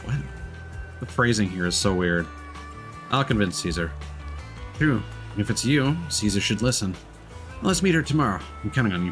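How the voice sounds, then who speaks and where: A male streamer casually commenting on a game and reading its text and dialogue aloud. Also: on the low side. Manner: relaxed, reads dialogue lines flatly and comments between them. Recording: game music behind the voice.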